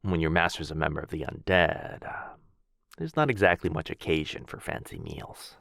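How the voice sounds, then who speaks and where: Man playing a very deep, gentle vampire lord. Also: animated, moderate pace, no room sound, heavily acted. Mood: warm, courteous. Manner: soft, hesitant, genteel, often breathy near-whisper. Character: courteous, gentle vampire lord, centuries old master of house. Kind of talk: boyfriend ASMR roleplay acting.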